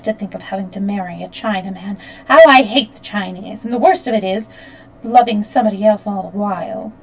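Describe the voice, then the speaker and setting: A woman reading the lines of an unhappy young bride, quick and emphatic. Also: mid-range voice, close to an everyday voice. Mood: distressed, resentful. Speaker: audiobook reader. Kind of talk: read-aloud fiction dialogue.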